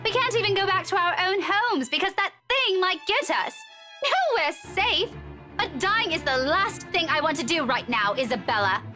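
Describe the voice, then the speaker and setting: A woman's voice: very high-pitched, very animated, normal speed, sharp tone, exaggerated voice. An actress voicing a frightened, accusing young woman in a mystery game, with emphatic, agitated delivery. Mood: angry, panicked. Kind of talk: dramatic visual novel voice acting. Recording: music under the voice.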